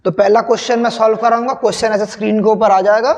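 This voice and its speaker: A young male physics teacher with a sore, hoarse throat, slowly working through a sample paper and repeating questions to his students. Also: high-pitched, fairly even intonation, darkish tone. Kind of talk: solving a sample paper on screen. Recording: long reverb.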